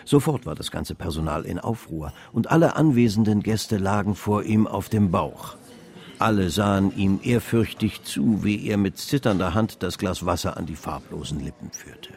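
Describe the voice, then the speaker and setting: An actor narrating a literary radio play as a measured, all-knowing storyteller of an old stock exchange tale. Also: male voice, low voice, vocal fry, darkish tone. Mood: measured, vivid. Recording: music behind the voice. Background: music.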